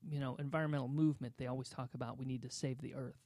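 A man's voice: mid-range voice, steady tone, rapid-fire, darkish tone, no room sound, clean studio sound. A man in his forties hosting a casual comedic chat show, chatty and laughing often, with lots of fillers. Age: 40s. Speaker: podcast host.

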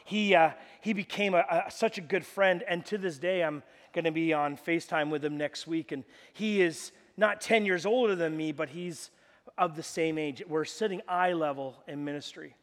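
Male pastor, high-pitched, loud and animated, preaching in a church hall with long reverb. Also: moderate pace. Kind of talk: sermon.

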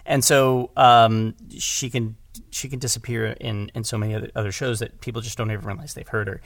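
Guy chatting about directing, animated n rambly, jokey, repeats himself, dry sound no room. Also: mid-range voice, creaky, no echo. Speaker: audio drama director and podcast guest. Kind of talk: casual conversational discussion.

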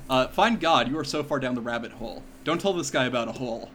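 A man's voice: medium pitch, animated, fast, fairly bright tone. A man co-hosting a podcast, chatting and joking in a rambling way with lots of filler words. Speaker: podcast co-host. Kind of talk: casual comedic chat. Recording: faint room noise, fans in background.

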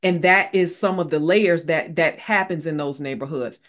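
A woman's voice: deep voice, normal speed. A woman author speaking as a guest in a casual interview, earnest and repeating phrases for emphasis.

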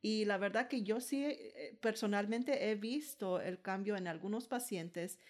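A woman's voice: medium pitch, a little slow. Chatty woman hygienist host. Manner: explains with many tag questions, mixes in English. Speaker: podcast host, dental hygienist. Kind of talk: conversational interview.

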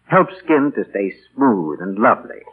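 Man, old-time radio announcer, scripted pitch, slightly rough voice, muffled vintage recording. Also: animated, a little slow. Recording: muffled, tinny 1940 radio broadcast.

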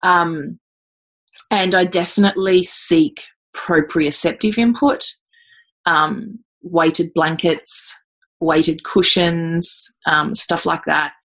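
A middle-aged woman with a fairly low voice, talking her way through interview answers. She pauses on ums and repeats words as she thinks aloud. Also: fairly even intonation, a bit bright. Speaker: guest.

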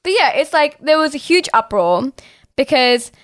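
A woman's voice: high-pitched, sing-song, normal speed, no echo, clean studio sound. A young Australian woman talking casually and bluntly as a podcast co-host, saying "like" constantly and swearing freely. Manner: outspoken, heavy use of "like", swears freely.

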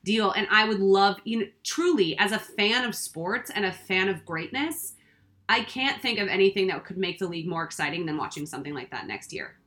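A woman's voice: mid-range voice, animated, a bit bright. A woman from Boston co-hosting a podcast, talking enthusiastically and with strong opinions. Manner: enthusiastic, opinionated, fast talker. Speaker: podcast co-host. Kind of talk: casual podcast chat.

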